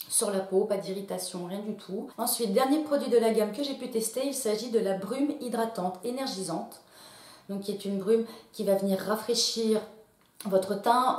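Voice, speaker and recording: A woman's voice: medium pitch, a little mellow, echoey room. A woman in her late thirties giving a casual beauty product review, chatty and full of small fillers and restarts. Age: late 30s. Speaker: beauty vlogger.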